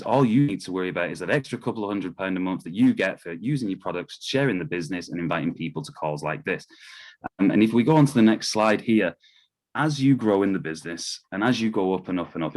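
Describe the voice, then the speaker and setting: A man with a low voice delivers a business presentation on an online call. He speaks persuasively and directly to the listener, posing rhetorical questions in a motivational style.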